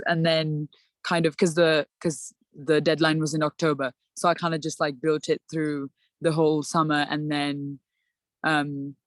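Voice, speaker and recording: A woman's voice: low voice, no room sound. A young woman from Sri Lanka, a student panelist, giving casual advice in a chatty way over a video call, full of "like" and "um". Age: young adult. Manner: chatty, many fillers and "like".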